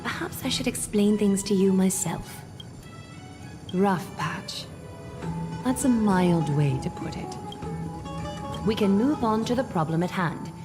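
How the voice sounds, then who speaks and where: An actress voicing a composed, courteous noblewoman in a fantasy video game, speaking in a poised, formal, gently teasing manner. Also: medium pitch, close to an everyday voice. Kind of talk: scripted video game voice acting.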